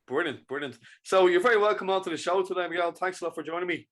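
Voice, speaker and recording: A man hosting a podcast interview, upbeat and encouraging, with halting, restarting questions. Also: sits high, fairly bright tone. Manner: upbeat, encouraging, quick to praise. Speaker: podcast host. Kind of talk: casual interview chat.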